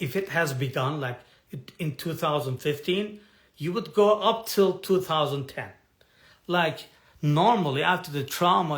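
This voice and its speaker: Slow, halting man searching for words. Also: on the high side, a bit bright. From has lived in Turkey for decades. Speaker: personal development coach. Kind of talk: live talk to an online audience.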